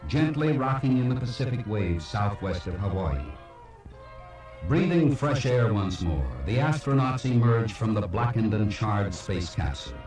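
Man, formal scripted narration, mellow tone, solemn and measured, very echoey cassette playback. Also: mid-range voice, distant mic. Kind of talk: scripted documentary narration for a slide show. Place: played from a cassette, heard in a room. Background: music.

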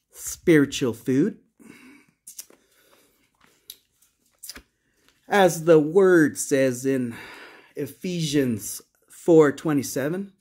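Slow, pompous, menacing older nun. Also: male voice, a little high, husky, full character voice. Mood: preachy, stern. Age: 58 as played. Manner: sugary, preachy, folksy, self-important. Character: pompous, menacing 58-year-old Mexican nun in charge. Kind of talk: theatrical character monologue.

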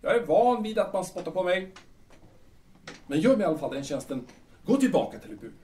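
A man's voice: sits high, very animated, warm tone, slight background noise. A man playing a bitter, proud, evasive bohemian playwright in an audio drama, with a hesitant, grumbling delivery. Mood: impassioned, scornful. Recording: echoey room.

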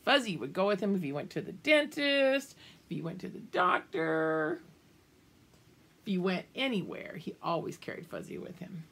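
Animated, husky older woman reading a storybook. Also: fairly low pitch, normal speed. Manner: animated, exaggerated emphasis with asides to the children. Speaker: children's storybook reader. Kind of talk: expressive read-aloud of a picture book for young children. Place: small room at home, indoors.

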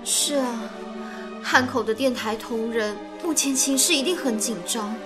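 A woman's voice: on the high side, measured pace, over music, lightly acted. An actress playing a chatty, teasing and devoted young woman in radio drama dialogue.

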